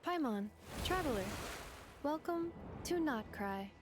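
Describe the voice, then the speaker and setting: Casting: courteous female game android, lightly high pitch, precise, measured, literal. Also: lively intonation, background noise. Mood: welcoming. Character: polite, literal-minded female robot companion. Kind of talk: video game voice acting.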